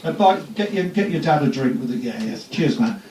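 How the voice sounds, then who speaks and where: A man's voice: mid-range voice, animated, brisk, darkish tone, slight background noise, lightly acted. A man doing a broad Welsh accent to voice a blunt male organist, heard from a distant mic in an echoey room. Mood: cheerful.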